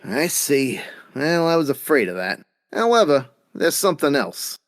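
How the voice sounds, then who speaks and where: An actor playing a cunning, smug gangster boss, tough-talking and mock-polite, in old-time radio drama style. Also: male voice, on the high side, very animated, moderate pace, no room sound, studio quality. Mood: threatening.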